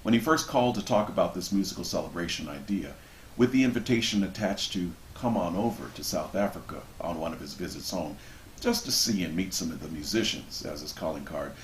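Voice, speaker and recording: Deep-voiced man reading aloud in a roomy space. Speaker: reader of a book introduction. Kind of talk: expressive literary reading aloud. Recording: roomy echo with background noise.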